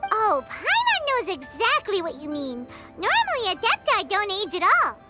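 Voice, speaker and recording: A tiny, chirpy female sprite companion who keeps calling herself by name. The voice sits extremely high and skips up and down lively. Game music plays loudly behind her. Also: normal speed, exaggerated voice. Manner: chatty, refers to herself by name.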